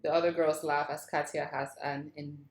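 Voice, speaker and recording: Low-voiced woman narrating a script, echoey. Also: little pitch movement, moderate pace. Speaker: stage-direction narrator. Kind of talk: reading screenplay action lines aloud. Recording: roomy, echoing sound.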